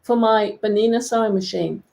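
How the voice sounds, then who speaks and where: English woman with a gravelly voice. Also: medium pitch, fairly even intonation, a little fast, a little mellow. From England. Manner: playful, self-mocking. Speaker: guest quilting teacher. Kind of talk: casual chat. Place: home sewing room over video call. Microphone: video call.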